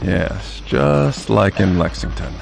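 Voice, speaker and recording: Deep, gravelly crime boss. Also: male voice, expressive, takes it slowly, darkish tone, faint music behind, exaggerated voice. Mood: cold, controlled. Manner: commanding, foul-mouthed. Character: stern, menacing crime boss and father. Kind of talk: horror mystery radio drama acting.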